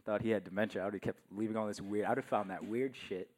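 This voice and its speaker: Soft-spoken man, swearing podcast host. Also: on the low side, fairly even intonation, fast. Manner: soft-spoken, laid-back, swears a lot. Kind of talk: casual crude podcast banter. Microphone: podcast microphone held too far from mouth.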